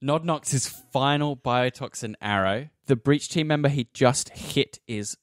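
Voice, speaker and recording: A man's voice: mid-range voice, measured pace, background noise. A man working as game master, switching between vivid action narration and casual explanations of the rules. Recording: music playing behind the voice. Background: music.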